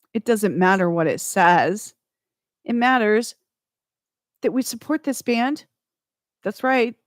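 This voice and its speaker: A woman's voice: lowish voice, no room sound, clean studio sound. A woman hosting a radio music show, chatting between tracks in a rambling way that corrects itself as she goes. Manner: slow, rambling, self-correcting.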